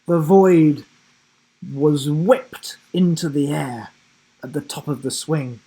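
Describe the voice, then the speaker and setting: A man reading a children's storybook aloud in a dramatic, suspenseful way with deliberate pauses. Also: a little high, dark tone, husky, low-quality recording. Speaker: children's book narrator. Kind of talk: dramatic scripted storybook narration.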